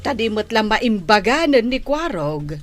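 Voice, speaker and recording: A woman's voice: on the high side, sing-song, a little slow. A woman playing a fretful, concerned village woman in a radio drama. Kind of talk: Ilocano radio drama acting. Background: music.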